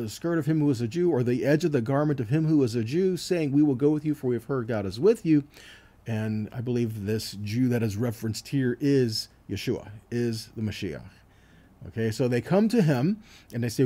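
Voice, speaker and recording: Male Bible teacher for scripture sermon: mellow tone, very animated intonation, explanatory delivery with frequent check-ins. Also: medium pitch. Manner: explanatory, reads verses aloud and checks in with "okay" and "right".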